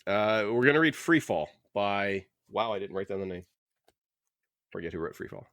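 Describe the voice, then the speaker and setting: Podcast host, male, on the low side, dry close sound, halting and conversational. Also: animated, a bit bright, dry recording. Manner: rambling, halting, thinking aloud. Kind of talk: casual book club podcast chat.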